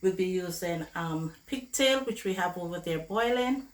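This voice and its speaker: A woman with a hoarse, flu-worn voice, chatting casually to viewers as she cooks in her home kitchen. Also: on the low side, lively intonation, normal speed. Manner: chatty, addresses viewers as guys. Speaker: cooking vlogger host. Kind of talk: casual cooking tutorial chat. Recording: echoey kitchen with loud clatter. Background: pots, dishes and running water.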